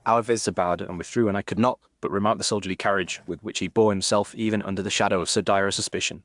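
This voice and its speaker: A man playing an observant Victorian gentleman doctor who narrates an audiobook story in formal, literary, measured fashion. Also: lowish voice, steady tone, no room sound, studio quality, close to an everyday voice. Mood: measured, reflective. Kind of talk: scripted first-person story narration.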